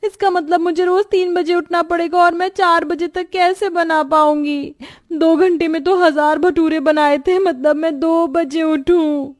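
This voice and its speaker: A woman's voice: very high voice, steady tone, a little slow, hardly any pauses, voice pushed up. A woman voicing a young, put-upon new bride who complains and protests plaintively in a cartoon story. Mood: whining dismay.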